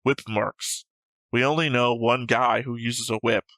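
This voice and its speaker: A man's voice: mid-range voice, steady tone, a little slow, fairly bright tone, no room sound. A man co-hosting a reaction show, chatting casually about a series in a flippant, argumentative way. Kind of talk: casual discussion of an anime series.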